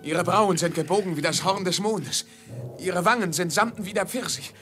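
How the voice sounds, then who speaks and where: Dutiful servant, measured and a bit dry, bright voice, music, old radio drama. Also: male voice, sits high. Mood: poetic, obedient. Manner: measured, deferential, poetic phrasing. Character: dutiful male servant, level-headed, gently dry. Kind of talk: 1950s German radio play acting. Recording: 1950s mono radio recording with music.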